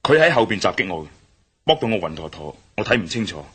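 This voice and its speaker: An actor playing a bold, curious young adventurer in a radio drama, speaking with a Cantonese accent and often thinking aloud. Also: male voice, low-pitched, very animated, quick pace, fairly bright tone, husky, exaggerated voice. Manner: muses aloud in inner monologue. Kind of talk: 1960s-style radio drama acting.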